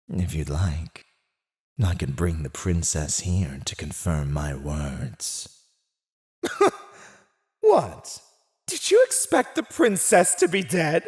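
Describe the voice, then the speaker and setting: A man playing a silver-tongued villain warlord who taunts a captive in a theatrical, mocking, teasing way for an ASMR roleplay. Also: medium pitch. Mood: amused, taunting. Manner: teasing, mocking, theatrical, drawn-out delivery with chuckles.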